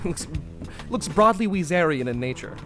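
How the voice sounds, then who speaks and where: A man giving casual let's-play commentary in an excitable way, full of profanity and laughing asides. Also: sits high, normal speed, over music. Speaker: game streamer.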